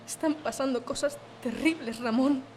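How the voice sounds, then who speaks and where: Actress as a high-voiced, strong-willed village mother. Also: normal speed, a little mellow, a little hiss or hum, lightly acted. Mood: alarmed. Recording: music behind the voice.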